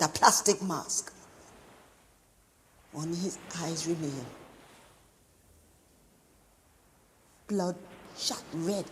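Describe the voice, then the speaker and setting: An actress playing a woman haunted by the loss of a newborn, speaking in a slow, halting stage monologue. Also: low voice, in a large hall, slight background noise.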